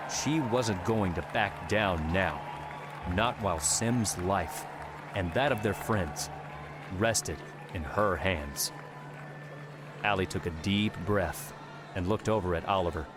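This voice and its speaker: A man narrating an audiobook in a measured, steady reading. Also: sits low, a crowd in the background, background noise. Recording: narration over background music. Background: music.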